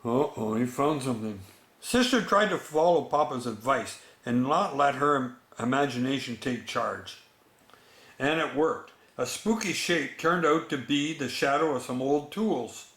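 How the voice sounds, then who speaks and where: Very slow-paced man reading bedtime stories. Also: mid-range voice, lively intonation, a bit bright. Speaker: storyteller. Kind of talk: bedtime story read aloud with chatty asides to children. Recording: echoey room.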